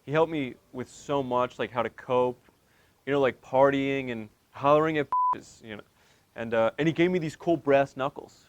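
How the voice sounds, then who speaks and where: A man's voice: mid-range voice, moderate pace, close to an everyday voice. A man playing a meek, gullible, eager-to-please therapy patient in a comedy sketch, hesitant and earnest. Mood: earnest, devoted.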